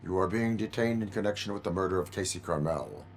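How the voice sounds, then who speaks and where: A man playing a stoic cyborg policeman in a video game, speaking in a formal, clipped, deadpan way. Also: low voice, fast talker, slight background noise. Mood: stern. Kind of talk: video game cutscene acting.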